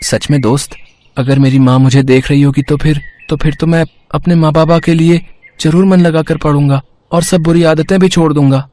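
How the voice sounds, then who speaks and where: Man acting an earnest, highish-voiced young schoolboy. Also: fairly even intonation, normal speed, mellow, lightly acted. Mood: sincere. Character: wayward, motherless schoolboy, sincere and loyal friend. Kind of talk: Hindi moral storybook acting.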